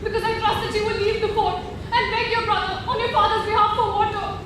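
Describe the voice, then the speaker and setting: A woman's voice: extremely high pitch, flat delivery, fairly quick. A woman acting a loyal, deferential attendant in a stage play, respectful and earnest in delivery. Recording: distant, echoey, noisy stage recording.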